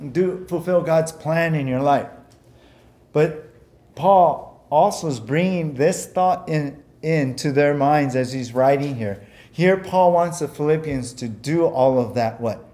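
Male pastor, sermon, slightly high pitch, earnest, repeats himself, first language japanese. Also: warm tone. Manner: earnest, repeats words, many "you know" fillers.

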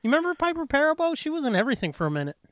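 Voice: sits high, big pitch swings, racing, no room sound, clean studio sound. A podcast co-host bantering casually about movies, slangy and foul-mouthed, with a jokingly combative way of talking.

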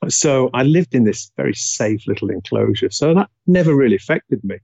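A man's voice: medium pitch, expressive, normal speed, gravelly, a little mellow, dry recording. Reflective Yorkshire man, dull dry sound. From England, Leeds. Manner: reflective, frequent filler hesitations. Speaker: guest. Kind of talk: casual interview talk.

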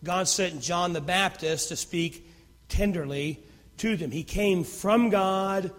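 A man preaching a sermon as pastor, with a naturally loud voice that swings from fervent emphasis to hushed near-whispers. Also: sits high, takes it slowly, big-room sound.

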